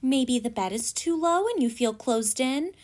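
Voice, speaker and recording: Woman as a kindly little storybook ant, high-pitched. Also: lively intonation, a little slow. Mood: curious. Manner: gentle, explanatory, curious. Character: kindly, wise, reassuring little male ant. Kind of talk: children's storybook read aloud.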